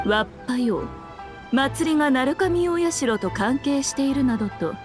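A woman's voice: high-pitched, animated, a little slow, music in the background. A woman voicing a sly, self-assured fox shrine maiden in a Japanese anime game, speaking in a lordly, archaic, teasing manner.

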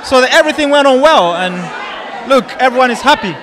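High-pitched young man in noisy crowd. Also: expressive, fast, music in the background. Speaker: event guest. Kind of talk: casual interview. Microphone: handheld microphone. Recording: loud event crowd behind.

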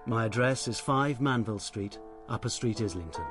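A man's voice: mid-range voice, animated, moderate pace, natural voice. Man acting a proud, bitter English writer, music behind. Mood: cold, formal. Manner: formal, stiff, wounded dignity. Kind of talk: period radio drama acting.

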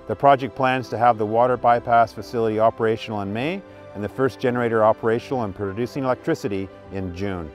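A middle-aged man, delivering scripted narration as a utility spokesman giving a project update. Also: medium pitch. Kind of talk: scripted narration and on-site presenting. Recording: music behind the voice.

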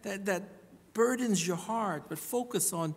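A middle-aged man preaching a sermon as a pastor, in earnest, emphatic short phrases with pauses. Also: sits high. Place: church hall pulpit. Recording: reverberant hall through PA.

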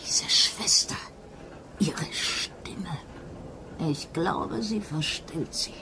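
A woman's voice: lowish voice, very slow, sharp tone, full character voice. An actress playing a tipsy, sly servant woman in a German radio thriller, with a slurred, conspiratorial drawl. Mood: tipsy, suspicious. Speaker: actress in an audio drama. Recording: clearly noisy background.

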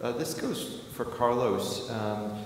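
A man moderating a medical panel, briskly calling on questions from the floor. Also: medium pitch. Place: large conference ballroom. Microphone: podium microphone. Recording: far-off echoey hall, faint noise.

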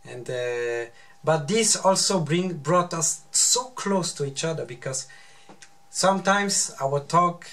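A man's voice: a little high, takes it slowly, fairly bright tone. A man in his thirties whose first language is Swiss German, speaking English in a casual chat and hesitating over his words. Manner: hesitant, searching for words, repeats himself. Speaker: husband guest. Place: small bedroom, indoors.

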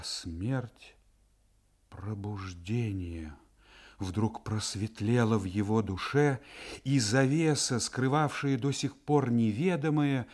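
A middle-aged Russian man giving a measured, solemn literary reading for radio. He rises to tense urgency in places and drops to a hushed near-whisper in others. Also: fairly low pitch. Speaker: narrator reading a classic novel. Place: radio studio.

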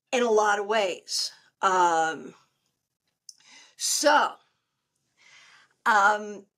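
An older woman with a loud, good speaking voice, chatting animatedly as a guest over a video call. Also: fairly high pitch. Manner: animated, gestures a lot while talking. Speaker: tarot reader guest. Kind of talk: casual interview chat. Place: home room on a video call.

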